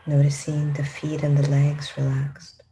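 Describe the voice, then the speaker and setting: A woman leading a guided meditation in a calm, gentle, unhurried manner with long pauses. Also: low voice, slight background noise, low-quality recording. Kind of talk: guided meditation, slow spoken instructions.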